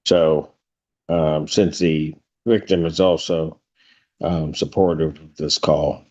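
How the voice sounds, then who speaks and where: Very low-pitched male judge. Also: fairly even intonation, slow pace, a little mellow. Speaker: judge presiding over court. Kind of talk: courtroom proceedings. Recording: dull lo-fi courtroom video audio.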